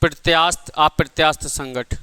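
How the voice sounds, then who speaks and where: A man's voice: fairly high pitch, fairly even intonation, a little fast, bright, forceful tone, smooth, dry recording. Male physics tutor, exam coaching, rhetorical questions, clean dry close-mic sound. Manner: asks the class questions and answers them himself; calls listeners "beta" and "bhai". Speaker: physics teacher. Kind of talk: exam-prep physics lesson.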